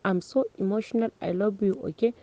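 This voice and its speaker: Woman voicing a teenage girl, emotional graduation speech, halting delivery, mellow tone, dry sound. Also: mid-range voice, steady tone, no echo, lightly acted. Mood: tearful. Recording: dull lo-fi recording.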